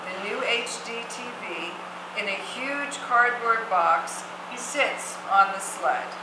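A woman narrates scripted stage directions in a slightly high voice. She is on a theatre stage, with strong echo and clear noise in the background. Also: slow. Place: theatre stage, seated, distant camera mic. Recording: echoey theatre, noisy background.

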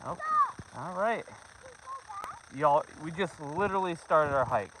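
A man's voice: fairly high pitch, expressive, a little slow. A middle-aged man chatting casually and informally to viewers and companions while livestreaming a walk outdoors on a handheld phone. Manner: informal, talks to viewers, son and dogs. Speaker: livestreamer on a family dog hike. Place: outdoors on a snowy trail. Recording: outdoor phone recording with clear background noise.

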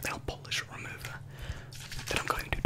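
A young man doing close-up whispered ASMR, repeating words and short phrases over and over into a close microphone. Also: moderate pace. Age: young adult. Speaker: ASMR video creator, host. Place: small bedroom, indoors. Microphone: close desk microphone with foam windscreen.

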